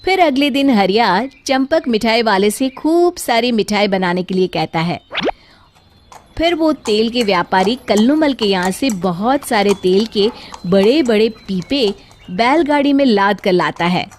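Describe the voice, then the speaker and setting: A woman's voice: on the high side, animated, measured pace, a little hiss or hum. A woman narrating a scripted children's story as the storyteller.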